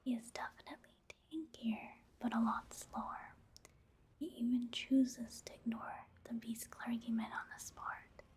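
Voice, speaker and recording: Softly whispering woman, very breathy. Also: on the high side, fairly even intonation. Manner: slow, hushed, unhurried. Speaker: ASMR video creator narrating her gameplay. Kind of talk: soft-spoken whispered ASMR game commentary.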